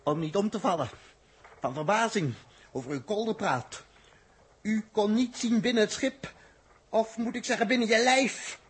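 A defiant, breathy young crewman. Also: normal speed, long pauses. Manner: sarcastic and indignant, with lively swings of pitch. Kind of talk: 1960s radio drama acting.

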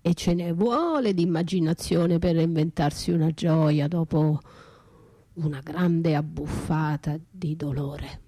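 Slow, mellow battered wife, anguished. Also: female voice, low voice. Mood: pained, hushed. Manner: theatrical, drawn-out, breathy, shifting from whispers to cries. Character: battered wife left for dead, anguished yet defiant. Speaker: stage actress and writer performing a solo radio play. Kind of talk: intense poetic radio theatre monologue.

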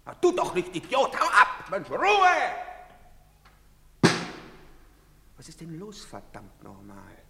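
An actor playing a brusque soldier who barks orders at townsfolk in a radio play. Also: male voice, sits high, wildly swooping pitch, normal speed, creaky, very noisy, heavily acted. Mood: shouting. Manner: barking, commanding. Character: brusque young male soldier barking orders. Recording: long reverb.

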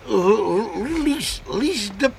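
Creaky, high-pitched tipsy man. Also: lively intonation, drawn-out words, crowd noise, heavily acted. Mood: tipsy, teasing. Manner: drunken stammering, slurred hesitations. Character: tipsy, teasing, chatty male village friend. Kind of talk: Dutch radio play acting.

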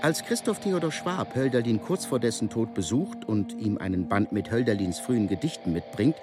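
A man's voice: fairly low pitch, lively intonation, moderate pace, music in the background. A man narrating a scripted literary feature.

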